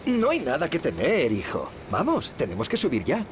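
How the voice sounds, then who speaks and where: A man's voice: a little high, huge pitch swings, normal speed, noisy background. A man voicing an easygoing, kindly young father in a cartoon dub, with a Castilian Spanish accent. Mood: kindly, strained.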